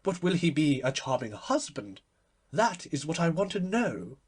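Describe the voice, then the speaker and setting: A man's voice: fairly high pitch, big pitch swings, normal speed, husky. A man voicing an imperious, chattering society hostess in an audiobook, emphatic and airily opinionated. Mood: brisk, demanding. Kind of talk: literary audiobook character voice.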